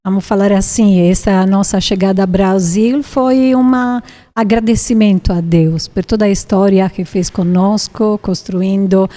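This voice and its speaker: Slow-spoken Italian woman in her fifties. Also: medium pitch, steady tone, mellow. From Italy. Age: 50s. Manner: unhurried, often ends sentences with a checking "tá?". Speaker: podcast guest. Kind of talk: interview testimony.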